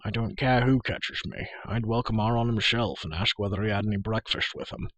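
A man's voice: fairly low pitch, fairly even intonation, fairly quick, a bit bright, no room sound, highs cut off. A man voicing a boastful, hungry, world-weary wandering bard in an audiobook. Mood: weary groaning. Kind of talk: audiobook character voice.

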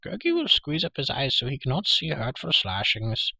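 A man's voice: medium pitch, wildly swooping pitch, moderate pace, creaky, bright, forceful tone, husky, no room sound, pitched above the performer's own voice, heavily acted. A man voicing a greedy, fawning, whimpering hairy creature for an audiobook, pleading and full of self-pity. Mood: anguished moaning. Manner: pleading, self-pitying, third-person chatter. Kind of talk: audiobook character voice.

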